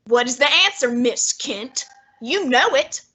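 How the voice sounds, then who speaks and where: A woman playing a portly, imperious male detective genius in a student radio drama, measured and condescending. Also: fairly high pitch, expressive, bright, forceful tone, slight background noise, poor audio. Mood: commanding. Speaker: student actor.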